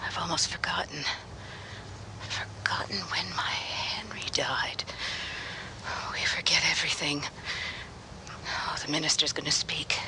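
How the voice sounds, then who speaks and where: Actress doing old widow in a radio play, whispery n slow, low voice, tired and mournful. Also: female voice, fairly even intonation, rough recording. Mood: numb grief. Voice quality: breathy whisper. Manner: slow, mournful inner musing. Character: old grieving woman, weary, lost in memories. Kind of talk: radio horror drama acting.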